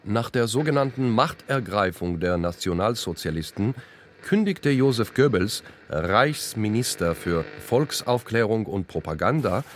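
A man reads scripted first-person narration for a radio feature. His voice is low but swings widely in intonation, with pointed, deliberate phrasing. There is faint noise behind him. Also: lively intonation. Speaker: narrator and author of a radio play.

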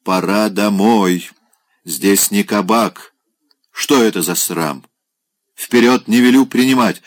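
A man's voice: on the low side, slow, no echo, clean studio sound. A man voicing a stern, commanding elderly woman who runs the house.

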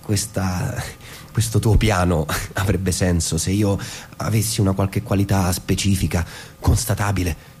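An actor playing a self-doubting suburban husband in a radio drama, defensive and halting as he puts himself down. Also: low voice, steady tone, a little mellow. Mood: subdued, hesitant. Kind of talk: stage-style radio drama dialogue.

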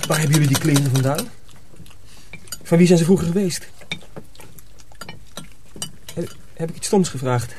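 A man playing an earnest, worn-out teenage apprentice in a radio drama, speaking in a halting, pleading way. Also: fairly high pitch, natural voice. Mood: curious, uneasy. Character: earnest teenage boy apprentice, exhausted and questioning. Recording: noisy radio drama recording.

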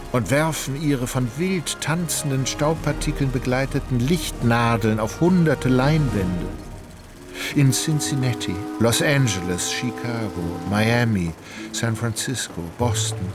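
A man acting as narrator of a literary radio drama, an ornate, wry storyteller with a measured delivery. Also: mid-range voice, animated. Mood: grand, measured. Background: music.

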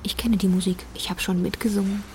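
A frank, lovelorn woman played by an actress in a radio drama. She speaks plainly and intensely at a middle pitch, with faint ambient noise behind her. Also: steady tone, fast talker, darkish tone, close to an everyday voice. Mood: bitter, wry. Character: frank, lovelorn woman in love with married man.

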